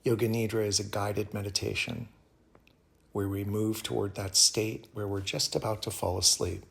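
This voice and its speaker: Low-voiced man guiding a meditation. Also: vocal fry. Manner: calm, soothing, measured phrasing with pauses. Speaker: yoga teacher guiding meditation. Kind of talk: guided meditation.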